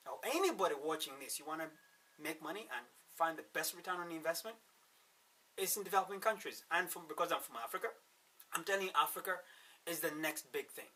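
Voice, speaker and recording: A man with a Ugandan accent giving an emphatic motivational pitch as a workshop speaker, with rhetorical turns and repeated words. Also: sharp tone, breathy edge, distant mic. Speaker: wealth-creation workshop speaker. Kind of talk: motivational sales pitch to camera.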